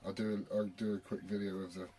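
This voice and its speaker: A man's voice: lowish voice, flat delivery, quick pace, dark tone, a little hiss or hum. A British man chatting casually as a CB radio operator, blokey and sweary in his talk. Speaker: CB radio operator and video maker.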